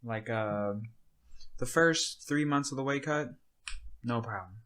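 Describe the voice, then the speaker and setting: A man's voice: medium pitch, takes it slowly, fairly bright tone. A man in his twenties hosting a podcast, chatting casually in a laid-back, bro-ish way and often trailing off. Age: 20s. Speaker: podcast host. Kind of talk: casual chat.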